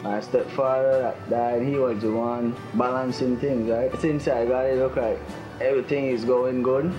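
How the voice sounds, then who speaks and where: A man's voice: medium pitch, fairly even intonation, moderate pace, highs cut off. A young adult man, a mechanic, speaking casually in a documentary testimonial. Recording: echoey room with music under.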